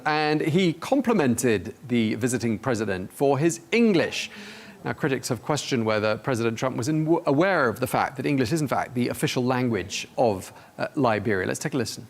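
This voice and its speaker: A male news anchor with a mid-pitched voice. He introduces stories and asks questions in a measured, conversational manner. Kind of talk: interview questions and story intros.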